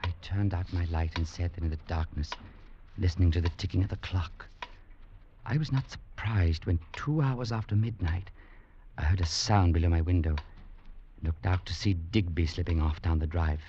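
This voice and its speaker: An actor playing an earnest young gentleman, narrating in a measured storytelling manner in an old radio drama. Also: low voice, fairly even intonation, a little hiss or hum, natural voice. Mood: grave. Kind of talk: 1940s radio acting. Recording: lo-fi radio recording with music.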